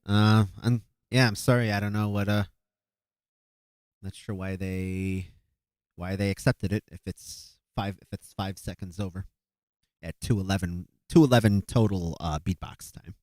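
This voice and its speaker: A man's voice: low-pitched, steady tone, unhurried, darkish tone, no echo. A man hosting a live stream, judging beatbox entries and thinking aloud with hesitant fillers and restarts. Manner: calculating aloud while reviewing clips. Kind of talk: casual live-stream commentary.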